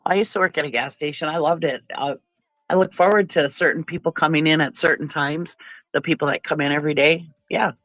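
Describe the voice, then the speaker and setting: A woman's voice: low voice, brisk, a bit bright, no echo, low-quality recording. A woman with a stuffy nose from a cold, hosting a casual livestream and chatting bluntly with viewers, often laughing and swearing. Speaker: livestream commentary host.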